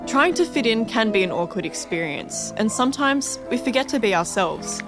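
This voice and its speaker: A woman's voice: fairly high pitch, lively intonation, moderate pace, music in the background. A woman with an Australian accent reading scripted narration as a radio host.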